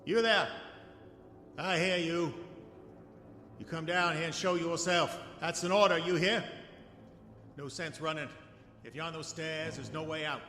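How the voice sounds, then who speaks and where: An actor playing a paranoid, gold-obsessed homeowner sliding into madness in a horror audio drama, muttering and repeating himself in old-fashioned formal phrases. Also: male voice, a little high, steady tone, unhurried, pauses often, pushed and bright. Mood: threatening, agitated. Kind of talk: horror audio drama acting, journal narration. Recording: roomy echo, music underneath.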